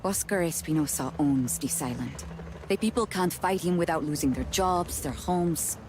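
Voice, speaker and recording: A woman's voice: sits low, normal speed, a little mellow, slight background noise, close to an everyday voice. A woman playing a determined rebel strategist in a video game, speaking in a firm, earnest, persuasive way. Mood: resolute. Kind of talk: video game cutscene acting.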